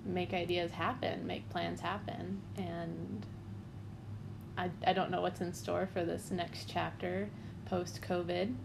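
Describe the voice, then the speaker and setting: A woman's voice: fairly low pitch, little pitch movement, slow, gravelly. A woman in her twenties chatting casually and upbeat, laughing often. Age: 20s. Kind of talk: casual chat. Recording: noisy background hiss. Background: dog chewing a bone.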